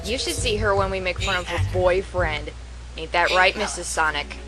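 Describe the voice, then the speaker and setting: A woman plays a smug, teasing superheroine in an audio drama. Her voice sits on the low side, cocky throughout, with music clearly behind. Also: normal speed, lightly acted, rough recording. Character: cocky, teasing young female fire fighter hero. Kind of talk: amateur audio drama acting.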